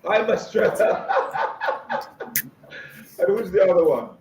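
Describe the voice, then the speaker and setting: A man with a high, very lively voice hosts over a video call, cheerful and full of ums. His sound bounces around an echoey room. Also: slow. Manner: enthusiastic, hesitant with many ums. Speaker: host. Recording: echoey room, faint noise.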